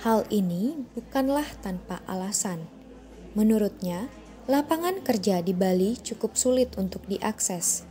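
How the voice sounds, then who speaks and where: Female narrator, for scripted news documentary; mellow tone, formal measured read, light music bed. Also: mid-range voice, expressive.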